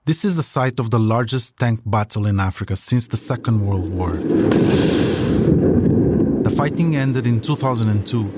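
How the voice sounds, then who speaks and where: A man's voice: medium pitch, expressive, moderate pace, pauses often, very noisy. A man narrating a scripted audio documentary as its host.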